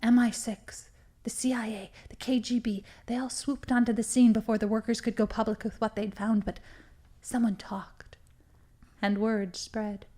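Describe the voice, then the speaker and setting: Actress as a high-voiced scheming female villain. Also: level tone, moderate pace, close to an everyday voice. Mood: gloating, menacing. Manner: theatrical, gloating storytelling with hushed dramatic emphasis. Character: scheming female villain confessing her criminal plot, smugly menacing. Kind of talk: audio drama acting.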